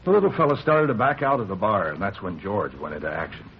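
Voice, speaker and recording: A defensive, streetwise American bar owner in a vintage radio crime drama. He talks fast in short, punchy bursts, with very animated intonation. The old recording carries a light hiss. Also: on the low side. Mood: animated recounting. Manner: clipped sentences, boastful, defensive, streetwise slang. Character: tough, self-justifying bar owner, shaken robbery witness. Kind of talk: 1950s radio police drama acting. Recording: muffled, hissy 1950s radio recording.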